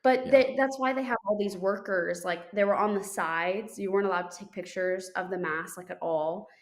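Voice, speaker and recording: A woman's voice: medium pitch, normal speed. A young woman co-hosting a podcast, telling a story in a chatty way with many fillers and restarts. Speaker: podcast co-host. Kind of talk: casual chat.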